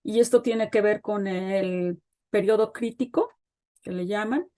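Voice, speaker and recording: A middle-aged woman, a guest expert, explains child development in an interview, pausing often with fillers as she thinks. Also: medium pitch, dark tone. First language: Spanish. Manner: thoughtful, pauses with frequent fillers.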